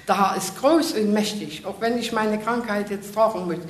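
A woman sharing an earnest, emotional personal testimony before a congregation. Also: moderate pace. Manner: earnest, emotional, faith-filled storytelling. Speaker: church member giving testimony. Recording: echoey room with faint rumble.

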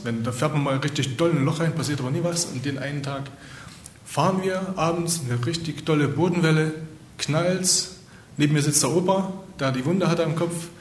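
A man, a missionary, telling a plain, rambling story to a congregation with many fillers. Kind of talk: personal testimony to a congregation. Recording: echoey room with faint rumble.

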